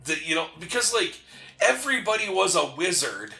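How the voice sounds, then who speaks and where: Man with a bright, echoey voice. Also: high-pitched, sing-song, brisk, gravelly. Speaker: co-host of a gaming show. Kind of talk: casual chat during video game commentary. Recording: roomy echo, game music behind.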